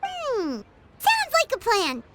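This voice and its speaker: A woman voicing a tiny, excitable floating fairy companion with a squeaky childlike voice in a video game. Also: takes it slowly, heavy background noise, exaggerated voice. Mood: curious, chirpy. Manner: chirpy, exclaiming, talks in third person. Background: playful background music.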